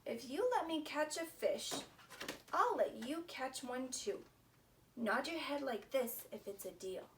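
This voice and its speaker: A woman voicing a weary, exasperated storybook bear with slow, emphatic, sighing delivery. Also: high voice, lively intonation, fairly bright tone. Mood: bargaining. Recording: distant mic with faint background noise.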